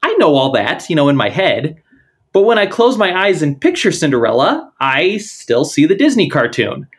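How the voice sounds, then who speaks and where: A man's voice: fairly high pitch, sing-song, moderate pace, slightly rough. A man hosting an interview, reading a scripted intro and then chatting with his guest. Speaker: podcast host.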